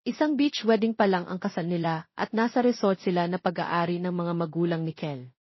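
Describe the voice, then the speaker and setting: A woman's voice: on the low side, brisk, breathy edge, dry recording, highs cut off. A woman with a Filipino accent reading a scripted romance story as an audiobook narrator.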